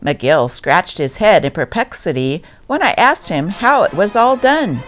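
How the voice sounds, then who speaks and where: A woman's voice: on the low side, big pitch swings, a little slow. A woman hosting and reading old newspaper articles aloud as scripted narration. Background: music.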